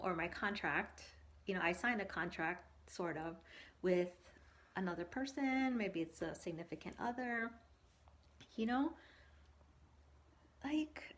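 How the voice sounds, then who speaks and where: A woman speaking as a coach on a casual livestream, conversational and animated, telling personal anecdotes and giving advice while often checking for agreement. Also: medium pitch. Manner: animated, conversational, acts out quoted thoughts and asks for agreement. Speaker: coach hosting a live stream.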